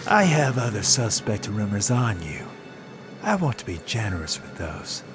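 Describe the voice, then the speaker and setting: A man's voice: lowish voice, sing-song, normal speed, over music. A man playing a mocking, slippery adult informant in a visual novel fan dub, with a drawling, taunting delivery. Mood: sly, smug.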